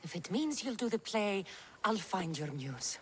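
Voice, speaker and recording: An actress playing a bold, determined mercenary in a video game cutscene, with a firm, direct manner. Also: female voice, mid-range voice, sing-song, measured pace, slight background noise. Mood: exasperated. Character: bold, commanding, determined female mercenary adventurer.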